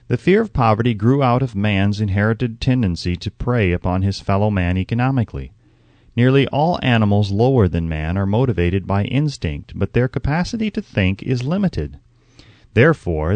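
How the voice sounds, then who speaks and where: A man reading an audiobook as scripted narration, in a measured, formal and emphatic way. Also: on the low side, a little mellow, no room sound.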